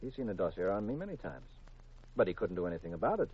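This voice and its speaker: An animated American radio detective husband. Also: male voice, low-pitched, fast talker, slightly rough, full character voice. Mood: matter-of-fact. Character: male detective husband, calm, earnestly explaining himself to wife. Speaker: radio actor. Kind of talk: 1950s radio drama acting. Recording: dull, lo-fi 1950s radio recording.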